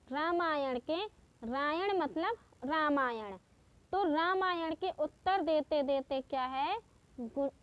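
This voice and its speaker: A woman teaching exam-prep memory tricks in a slow, patient way, repeating each step. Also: sits high, no echo. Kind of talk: exam-prep mnemonic lesson.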